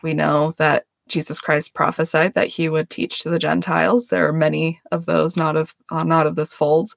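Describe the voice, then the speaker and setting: Gravelly-voiced earnest woman host. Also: low-pitched, little pitch movement, moderate pace, dry recording, clean studio sound. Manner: earnest, self-deprecating, laughs often. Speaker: podcast host. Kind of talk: casual religious explanation.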